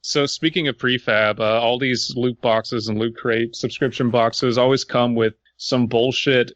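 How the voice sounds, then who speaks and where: A man with a forceful, bright voice hosts in loose, profane comedic banter. The sound is close and dry, though a little dulled in recording. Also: mid-range voice, steady tone, measured pace, no room sound, dull audio. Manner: profane, joking. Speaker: podcast host.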